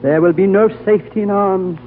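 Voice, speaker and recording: An actor playing an ancient, wise high lama in a 1930s radio drama, speaking slowly and gravely on a thin, old broadcast recording. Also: male voice, steady tone, slight background noise, natural voice. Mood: solemn. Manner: slow, grave, prophetic. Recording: old phone-like radio broadcast, faint music.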